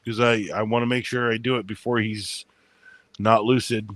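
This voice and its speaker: A man's voice: on the low side, level tone, normal speed, a bit bright, no room sound. A man hosting a casual chat with friends, rambling through stories with lots of fillers and swearing, in a soothing voice. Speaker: channel host.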